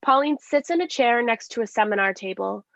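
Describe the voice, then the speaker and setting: A woman's voice: on the high side, a little fast, gravelly, fairly bright tone, no echo, lo-fi sound. A woman reading stage directions as scripted narration.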